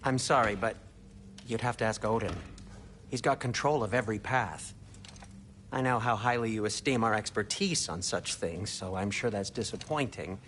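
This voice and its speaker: A man's voice: fairly low pitch, normal speed, fairly bright tone, slight background noise, natural voice. An actor playing a snide, fussy dwarf craftsman in a video game, with a wry, sarcastic manner. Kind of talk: video game character acting.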